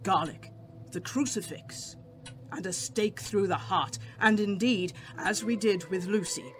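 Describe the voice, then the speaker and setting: An actor playing a grave, commanding professor who hunts vampires in a radio drama, solemn and formal in delivery. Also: male voice, high-pitched, a little slow, slight background noise. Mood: solemn, urgent.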